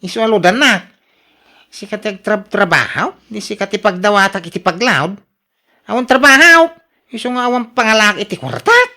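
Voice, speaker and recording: A woman's voice: mid-range voice, animated, moderate pace, bright, forceful tone. A woman with an Ilocano accent playing a lazy, whiny, quarrelsome husband in a radio drama. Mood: lazy, irritated.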